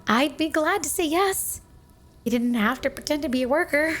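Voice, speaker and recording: Yandere snow woman, female performer: high, sweet, adoring, possessive; close ASMR roleplay over music. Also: lively intonation, normal speed. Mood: proud, teasing.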